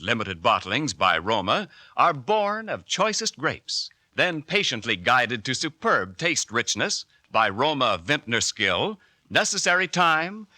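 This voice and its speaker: A man reading a 1940s radio commercial as announcer, with a polished sales pitch. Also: bright, forceful tone, dry recording. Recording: dry old radio broadcast.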